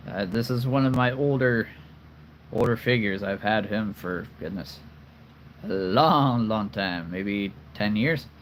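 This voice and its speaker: A man's voice: fairly low pitch, slight background noise, rough recording. A man hosting a casual livestream, talking earnestly and rambling with many fillers and restarts. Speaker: collectible figure channel host.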